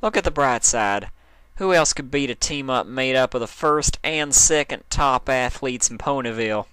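A man's voice: medium pitch, expressive, normal speed, pushed and bright, clear, resonant tone, no echo, voice pushed up. A man voicing a friendly, teasing female farm pony with a folksy, coaxing manner in a story reading. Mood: teasing, cheerful.

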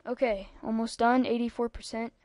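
A woman's voice: on the high side, fairly even intonation, moderate pace, darkish tone, no echo. A young-sounding female speaker giving a rambling, casual computer tutorial over a screen recording, with lots of ums and asides. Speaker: tutorial maker.

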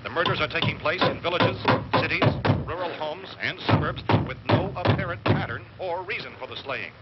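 An actor playing a grave, urgent male radio newsreader reading a formal emergency bulletin in a measured, authoritative way. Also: fairly high pitch, lightly acted. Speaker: radio news announcer. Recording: muffled old radio broadcast, loud background music.

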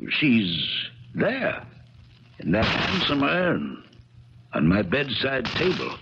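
An actor playing an ailing, fond older uncle with a halting, folksy manner in a 1970s radio drama. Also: male voice, mid-range voice, wildly swooping pitch, full character voice. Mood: fond, wistful. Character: ailing elderly uncle, fond, evasive, sentimental. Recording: crackly, dull 1970s radio recording.

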